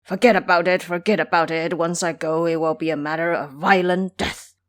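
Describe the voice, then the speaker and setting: A woman's voice: lowish voice, steady tone, fairly quick, lightly acted. A woman voicing a cowardly, wheedling pig-monster disciple in an audio drama, whining and grovelling as he pleads. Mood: muttering dread.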